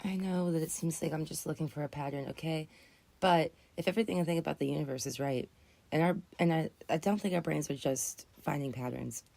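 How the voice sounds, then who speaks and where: A woman in her twenties, raspy and just woken up, rambling earnestly and correcting herself as she hosts a casual live chat. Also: low voice, a little fast, mellow, dry recording. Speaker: livestream host.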